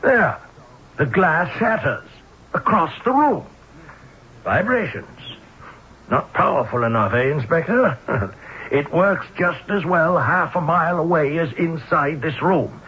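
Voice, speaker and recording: High-voiced, theatrical professor sleuth. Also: male voice, animated, unhurried, bright, forceful tone. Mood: showy, confident. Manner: showy, precise, dismissive. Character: urbane, theatrical, self-assured male professor-sleuth who plays violin. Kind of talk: old-time radio drama acting. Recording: dull, noisy vintage radio broadcast.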